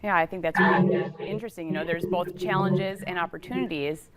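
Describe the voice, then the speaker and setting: Lower-pitched female TV host. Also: fairly quick, a loud crowd. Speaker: TV show host, interviewer. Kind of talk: scripted intro and interview questions.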